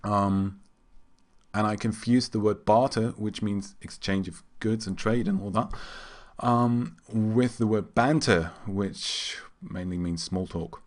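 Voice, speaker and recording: A man from Germany, an English speaker, giving casual and somewhat halting gaming commentary. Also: fairly low pitch, fairly even intonation. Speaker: game streamer. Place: indoors at a computer.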